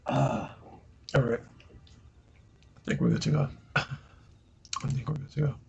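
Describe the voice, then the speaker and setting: A man with a naturally low voice chatting casually as he streams a game, often asking "right?" and addressing viewers as guys. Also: huge pitch swings, unhurried, gravelly, darkish tone, a little hiss or hum. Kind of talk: casual gameplay commentary. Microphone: desk mic he moves around.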